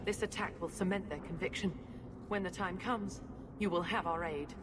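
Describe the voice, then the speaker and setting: A woman's voice: on the low side, moderate pace, lightly acted. Woman voicing a resolute noblewoman over noisy ambience. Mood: determined. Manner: formal, commanding. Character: resolute noblewoman leading a resistance. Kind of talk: video game character acting.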